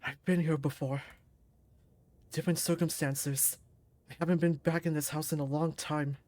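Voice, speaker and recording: A woman's voice: low-pitched, fairly even intonation, normal speed, dark tone, no room sound, voice pushed down, close to an everyday voice. A woman voicing a brooding, guilt-ridden young male fighter in a visual novel, subdued, introspective and bitterly wry. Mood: guilty, mournful.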